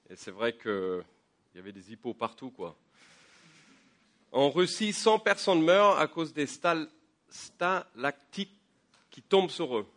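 A man preaching a sermon while he has a head cold. He talks conversationally, with many fillers and repeated words, and his voice climbs higher and louder as he builds to a point. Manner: rises in pitch and volume when building to a point. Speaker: pastor. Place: church.

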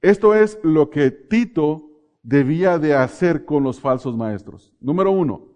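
A middle-aged Mexican-born man delivers a sermon in a fairly high voice. He asks his listeners questions, then answers them himself. He drags out the key phrases for weight. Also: a little mellow. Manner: slows down to stress key phrases. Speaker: preacher.

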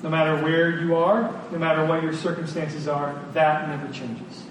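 High-voiced man preaching in echoey room. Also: flat delivery, moderate pace. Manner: earnest, informal, emphatic repetition. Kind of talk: conversational sermon. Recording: very echoey room, distant mic, clear noise.

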